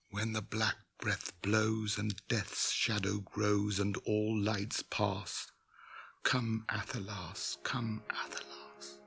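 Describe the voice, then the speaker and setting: A man's voice: on the low side, flat delivery, drawn-out words, gravelly, pauses often, fairly bright tone, slightly rough, heavily acted. An actor playing a grave, solemn returned king and healer in a 1980s radio drama. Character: noble kingly male healer and returned king.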